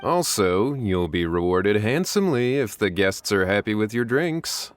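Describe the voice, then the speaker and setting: An actor playing a polite, welcoming tavern manager making a persuasive offer. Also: male voice, on the low side, expressive, unhurried. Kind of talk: game cutscene voice acting.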